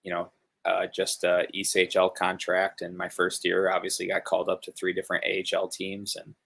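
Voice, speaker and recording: Low gravelly young man, flat delivery. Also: brisk. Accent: Northern US. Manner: relaxed, rambling with many fillers. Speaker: hockey player guest. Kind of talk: casual interview chat. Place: home room, video call.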